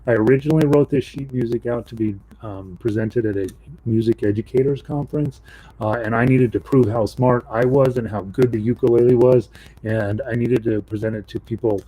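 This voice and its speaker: A man's voice: steady tone, brisk, mellow, husky, rough recording. A man teaching a live online ukulele class from home, talking casually with lots of ums and restarts into a desk microphone. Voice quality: low voice. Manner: casual, rambling, with many ums and self-corrections. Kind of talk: live online music lesson. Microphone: voice mic and separate instrument mic.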